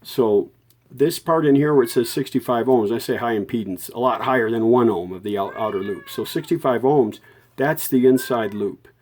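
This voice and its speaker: Mellow-voiced man giving a halting hands-on tutorial. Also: medium pitch. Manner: halting, self-correcting, informal. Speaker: host of a vintage radio repair tutorial. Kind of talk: unscripted hands-on technical explanation.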